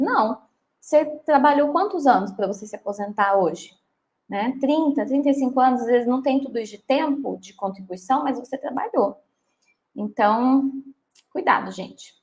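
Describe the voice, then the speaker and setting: Woman, 30s, lawyer on a live Q&A; chatty, checks in with listeners often. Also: fairly high pitch. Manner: chatty and reassuring, often ends with "tá?". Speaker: lawyer answering viewer questions. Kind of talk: live Q&A, reading comments aloud.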